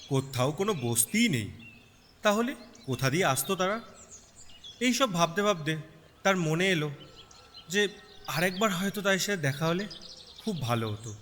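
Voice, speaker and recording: A man narrating a story in an expressive, dramatic storytelling style with sympathy in his delivery. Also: on the high side. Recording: long reverb, background night insect noise.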